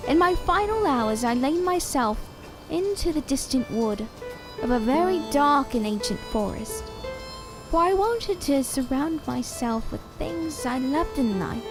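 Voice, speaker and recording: A woman's voice: sits high, animated, unhurried, music in the background, poor audio. An actress playing a small living doll, once a real woman, who speaks in a sweet, theatrical, old-fashioned way in an audio drama. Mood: sorrowful. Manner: theatrical, coaxing, ornate old-fashioned phrasing.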